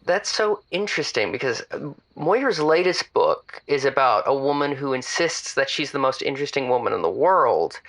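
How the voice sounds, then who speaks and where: Actor playing awkward bookish caller in comedic audio drama: stammering, gravelly edge, swooping intonation. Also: mid-range voice, very animated, normal speed, fairly bright tone. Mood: awkwardly pointed. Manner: hesitant, stammering. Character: awkward, bookish advice seeker, politely skeptical romance reader.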